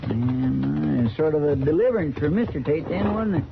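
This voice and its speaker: Folksy radio western deputy, homespun, higher voice, clearly hissy vintage recording. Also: male voice, expressive, normal speed, narrow, tinny audio. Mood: chatty, curious. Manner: folksy drawl, homespun grammar. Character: folksy, talkative, good-natured marshal's sidekick. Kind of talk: 1950s radio western acting.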